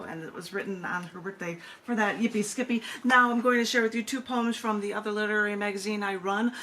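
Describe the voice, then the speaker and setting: A woman's voice: medium pitch, a bit bright. A woman hosting an open mic and poetry reading over a video call, chatting casually with rambling asides. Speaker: open mic host and poet. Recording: noisy video call audio.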